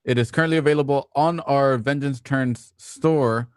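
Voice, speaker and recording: A man co-hosting a casual show, giving product pitches and scripted sponsor reads. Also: normal speed, smooth, dry recording, clean studio sound. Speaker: co-host and sponsor reader.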